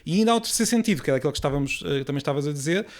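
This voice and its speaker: A man's voice: fairly high pitch, lively intonation, rapid-fire. A young adult man with a European Portuguese accent, explaining ideas in a casual podcast chat with frequent restarts. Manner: explanatory, many restarts and hesitations.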